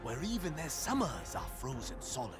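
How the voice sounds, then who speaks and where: An actor playing a sly, playful narrator who tells a game's story in a dramatic, teasing way. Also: male voice, mid-range voice, huge pitch swings, normal speed. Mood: sly, amused. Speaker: narrator of a game story recap. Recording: music under the voice.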